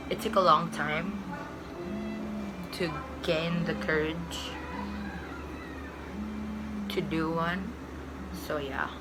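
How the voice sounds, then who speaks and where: Young Filipina woman, Filipino accent, slow lowish chatty delivery, rambling first-time beauty vlogger, music bed underneath. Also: low-quality recording. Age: young adult. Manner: rambling, self-correcting, giggly asides. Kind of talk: casual chatty makeup tutorial. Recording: background music playing.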